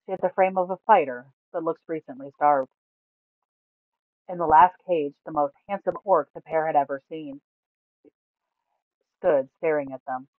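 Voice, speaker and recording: A woman storyteller reading scripted fantasy narration aloud in a measured, dramatic way. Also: low-pitched, dry recording. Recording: narrow, phone-like sound.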